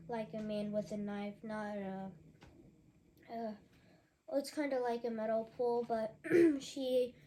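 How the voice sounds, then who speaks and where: A young woman with a sore, hoarse throat from allergies, giving a casual, rambling book review with many fillers and restarts. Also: on the high side, mellow, poor audio.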